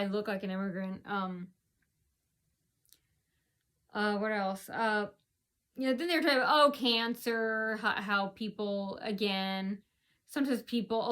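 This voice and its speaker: A woman's voice: medium pitch, a bit bright. A woman vlogger talking straight to her viewers in a long, rambling monologue, full of fillers and restarts.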